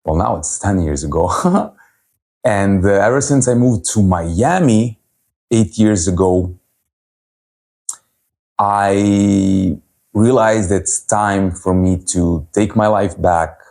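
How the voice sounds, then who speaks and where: A man in his thirties from Serbia, telling his personal story as a holistic health coach at a virtual event in an earnest, unhurried way. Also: fairly low pitch, darkish tone. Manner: earnest, unhurried, with frequent pauses and fillers.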